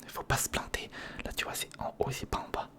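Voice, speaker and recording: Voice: quick pace, sharp tone. A person whispering informal ASMR commentary in short step-by-step remarks while building, speaking directly to the viewer. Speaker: ASMR video creator and host.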